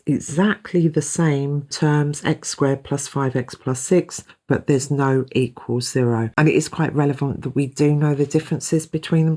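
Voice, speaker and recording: A woman's voice: rumbling low, a little mellow. A woman from the UK with a British accent, patiently explaining maths step by step as a teacher. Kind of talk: step-by-step tutorial narration.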